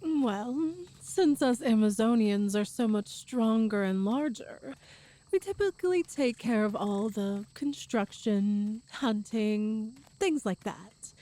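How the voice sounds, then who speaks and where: A woman playing a tall, strong, cheerful and doting Amazonian woman in an ASMR roleplay, speaking gently in slightly formal phrases. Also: a little high, animated, takes it slowly. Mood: reassuring, warm. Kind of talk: ASMR roleplay audio drama.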